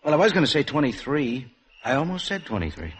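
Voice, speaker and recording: An actor in a 1950s radio drama playing a flirtatious bachelor in his late twenties, gentle and teasing. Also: mid-range voice, big pitch swings, quick pace, lightly acted. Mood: teasing, admiring. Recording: noisy 1950s radio recording.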